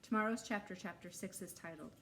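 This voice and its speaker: A woman reading a story aloud to young children, narrating expressively and deliberately. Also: on the low side, fairly bright tone. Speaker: read-aloud storyteller for first graders. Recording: faint background noise.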